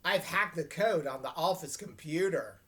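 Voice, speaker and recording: A woman's voice: low-pitched, expressive, normal speed. A woman reading the part of a pushy, nosy middle-school boy reporter from a children's novel, in a probing, insinuating way.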